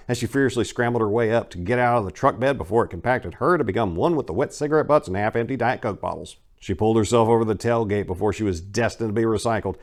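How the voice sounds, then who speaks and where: A man with a fairly low voice narrates crime fiction as a dramatic reading. His storytelling is intense and expressive, following a written script.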